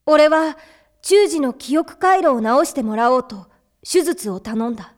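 A woman voicing a brash, hot-headed young boy hero in anime, with rough boyish speech. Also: high voice, lively intonation, measured pace. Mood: earnest, recounting. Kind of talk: anime voice acting and narration.

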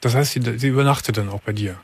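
A man hosting a late-night radio call-in show who asks calm, probing questions. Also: mid-range voice, quick pace, husky. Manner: calm, probing questions with frequent soft "mhm"s. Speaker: radio talk show host. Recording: dry studio mic.

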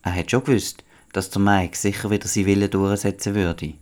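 Deep-voiced Swiss German male narrator. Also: fairly even intonation, brisk, darkish tone. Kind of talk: scripted children's story narration.

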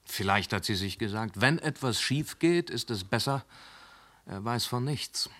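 An actor playing a calm male police inspector who questions witnesses and reasons through a case in a radio drama. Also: lowish voice. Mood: reasoning. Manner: measured, reasoning.

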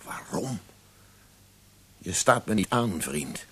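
A man's voice: low-pitched, very animated, takes it slowly, fairly bright tone, breathy edge, full character voice. An actor playing a stern, worried doctor in a radio drama, blunt and insistent with his patient. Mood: concerned, probing.

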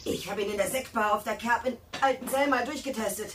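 A woman's voice: a little high, a little fast, recorded from a distance, background noise, close to an everyday voice. An actress playing a gossipy, distrustful village woman in a radio drama, chatty and scornful in colloquial speech.

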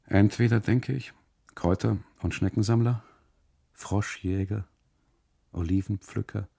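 A man narrating a literary radio monologue in the first person, deliberately, in short phrases broken by pauses. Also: deep voice, vocal fry, dark tone, breathy edge.

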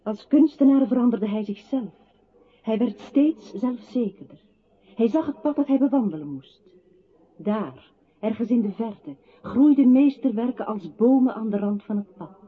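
An actress in a radio play voicing a solemn, poetic narrator with a measured, literary delivery. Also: female voice, on the high side, slightly rough. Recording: thin phone-like radio sound, faint noise.

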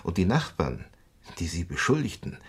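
A man acting a calm, considerate police commissioner in a radio crime drama, measured, soothing and matter-of-fact. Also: sits low, husky, exaggerated voice. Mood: reassuring.

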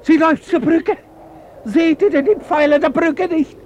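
A man's voice: very high voice, moderate pace, a little mellow, muffled recording, a little hiss or hum. Anguished, pleading old man. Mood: desperate pleading. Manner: pleading, crying out. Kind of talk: 1950s German radio play acting.

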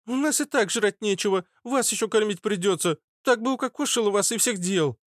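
A man's voice: high-pitched, no echo, studio quality, voice pushed up, natural voice. A man voicing a brash, cheeky young woman with insolent, slangy delivery in an audiobook story. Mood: hostile. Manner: insolent, slangy, quick to snap. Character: brash, feisty red-haired young woman, cheeky and rude.

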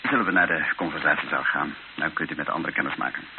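An actor playing an earnest, patient priest who heads a mission in a radio drama, gravelly, measured and gently insistent. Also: male voice, extremely low pitch. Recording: noisy, narrow-band old radio recording.

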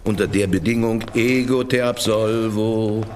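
An actor playing a scheming church official who coaxes and then gives orders. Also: male voice, lowish voice, steady tone, a little slow, darkish tone, a little hiss or hum, lightly acted. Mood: commanding. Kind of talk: radio drama acting.

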